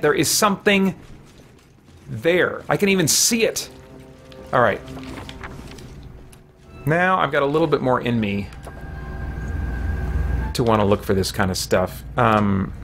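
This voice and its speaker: A man in his forties giving casual livestream game commentary, halting as he thinks aloud. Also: a little high, expressive, a bit bright, faint music behind. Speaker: video game streamer and podcast host. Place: small room at home, indoors.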